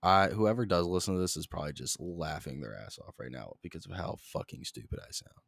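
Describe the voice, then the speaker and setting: A young man hosting a solo podcast, rambling in a flat monotone, swearing constantly and jumping between topics, close to the mic. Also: low-pitched, brisk, creaky, no echo. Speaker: podcast host.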